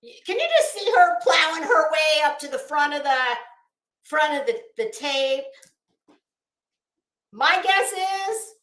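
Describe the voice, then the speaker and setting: High-pitched, scornful American woman ranting. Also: lively intonation, unhurried, pauses often, fairly bright tone. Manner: scornful, sarcastic, emphatic with rhetorical questions. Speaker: online livestream host. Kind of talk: ranting livestream commentary, casual and profane. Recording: roomy, echoing sound.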